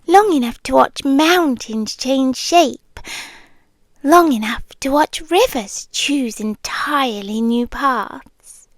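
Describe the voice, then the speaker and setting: Woman voicing an ancient, softly whispering British creature girlfriend. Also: sits high, no room sound, full character voice. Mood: tender, possessive. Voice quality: soft, breathy, often slipping into whispers. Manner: slow, lingering, pausing between phrases. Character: ancient possessive dragon-like female creature, tender and lovesick. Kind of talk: intimate ASMR girlfriend roleplay. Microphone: close ASMR microphone, dry.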